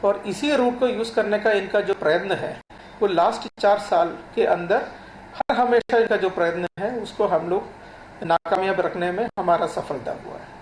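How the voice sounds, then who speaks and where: A man answers as an official guest in a roomy, echoing space with faint noise. His delivery is formal, halting and repetitive. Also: high voice. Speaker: law enforcement official, interview guest. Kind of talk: formal explanation in a TV interview.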